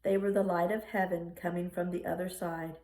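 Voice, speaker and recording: A woman reading a children's picture book aloud in an expressive storytelling style. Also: on the low side, brisk. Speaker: storybook reader. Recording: roomy, echoing room sound.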